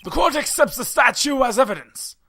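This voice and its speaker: A man's voice: very high-pitched, expressive, a little fast, creaky, sharp tone, husky, soft background music, heavily acted. A man playing a solemn, ponderous older judge in an amateur courtroom game dub, stately and musing.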